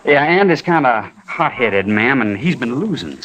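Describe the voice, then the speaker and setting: Worried young rancher, polite n folksy, kinda unsure, medium voice, old radio western. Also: male voice, mid-range voice, big pitch swings, moderate pace, fairly bright tone, low-quality recording. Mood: shy, pleading. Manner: hesitant, deferential, folksy. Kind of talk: 1950s radio western acting.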